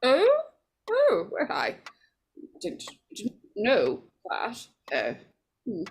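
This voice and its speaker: A woman's voice: fairly high pitch, huge pitch swings, extremely slow, a little hiss or hum, exaggerated voice. A woman playing a nervous, superstitious, insistent lady in an old-time radio horror play, stammering and repeating herself.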